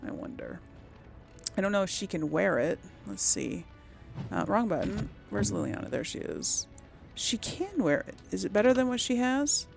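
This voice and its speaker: A woman's voice: medium pitch, sing-song, normal speed, vocal fry, faint music behind. A woman giving casual game commentary as a let's play host, musing aloud to herself.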